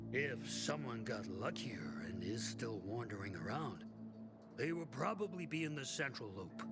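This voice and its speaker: Game role: jaded middle-aged survivor, resigned, bitter, very slow with heavy pauses. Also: male voice, lowish voice. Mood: dismissive, weary. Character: world-weary, resigned male survivor in a plague-walled city. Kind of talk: game cutscene acting. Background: music.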